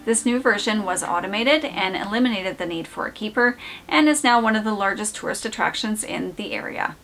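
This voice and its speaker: A woman's voice: mid-range voice, expressive, brisk, a bit bright. A woman in her forties hosting a lighthouse channel, reading a script in an upbeat, enthusiastic way, framed head and shoulders. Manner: upbeat, enthusiastic descriptive narration. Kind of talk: scripted narration. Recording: clear background music.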